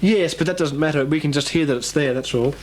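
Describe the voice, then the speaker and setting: A man's voice: on the high side, fast talker, noisy background. A man chatting casually as an amateur radio operator, rambling and hesitant, often repeating himself.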